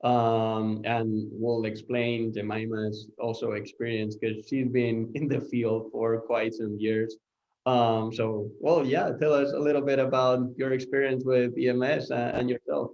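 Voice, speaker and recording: A man's voice: medium pitch, level tone, a little slow, darkish tone. A man hosts a webinar and moves the conversation along in a casual tone. His voice sits in the middle range. The audio sounds dull and lo-fi. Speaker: webinar host. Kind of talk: hosting a webinar.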